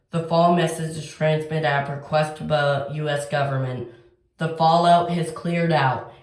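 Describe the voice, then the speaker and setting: Slow, echoey synthetic emergency broadcast announcer. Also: mid-range voice. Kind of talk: scripted emergency broadcast narration. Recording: echoey, roomy sound.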